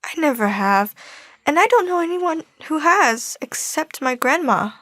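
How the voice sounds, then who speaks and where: Young bookish woman, played by a woman, very high voice, unhurried, conversational delivery, dry close sound. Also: expressive, fairly bright tone, no room sound. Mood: reflective. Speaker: actor in a student play. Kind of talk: conversational stage dialogue.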